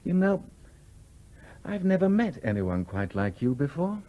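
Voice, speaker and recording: An actor playing a charming, idle English gentleman in a 1970s radio play, suave and teasing with small hesitations. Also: a little high, big pitch swings, unhurried, phone-quality sound. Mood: earnest, wooing. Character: charming, flirtatious, idle well-off English gentleman, restlessly bored.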